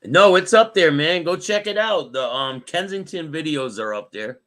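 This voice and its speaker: A man with a raspy voice hosting a live stream. He reads news aloud and chats with viewers by name. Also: fairly high pitch, lively intonation, normal speed, a bit bright. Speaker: host.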